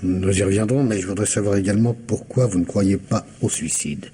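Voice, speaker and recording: Police commissioner male actor: low voice, wry and insistent, radio mystery, slightly noisy old recording. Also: fairly even intonation, a little fast, warm tone, natural voice. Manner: insistent, hesitant feints while questioning. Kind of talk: French radio mystery drama acting.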